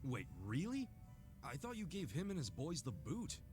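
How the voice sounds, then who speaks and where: An actor playing an earnest, blunt, big-hearted tough-guy hero in a video game, with a gruff, hearty voice. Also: on the high side, wildly swooping pitch, normal speed, music in the background. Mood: questioning, serious. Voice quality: gruff, gravelly. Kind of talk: video game cutscene acting.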